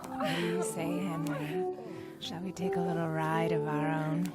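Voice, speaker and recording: Tipsy flirty wench from a video game, slow playful drawl, loud music going. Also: female voice, low voice, flat delivery. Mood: flirtatious, giddy. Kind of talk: dramatic video game cutscene acting.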